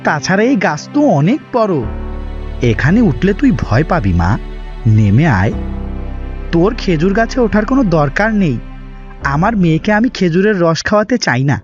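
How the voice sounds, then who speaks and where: A man's voice: sits high, very animated, normal speed, pauses often, soft background music. A man voicing a fretful, kindly middle-aged village uncle in a fairy-tale cartoon, exclaiming and fussing on a dull recording. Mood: alarmed.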